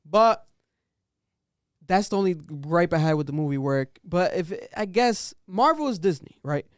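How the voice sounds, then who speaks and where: A man's voice: high-pitched, dry recording, clean studio sound. A man co-hosting a podcast, chatting casually and animatedly, joking and laughing between points. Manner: animated, laughing, quick asides. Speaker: podcast co-host.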